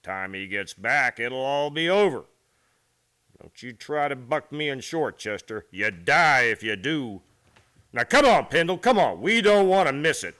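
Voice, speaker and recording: Man acting a bright, taunting cowboy bully. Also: mid-range voice, big pitch swings, unhurried. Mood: menacing. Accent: American. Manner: taunting folksy drawl. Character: menacing, mocking male cowboy bully. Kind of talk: Western radio play acting.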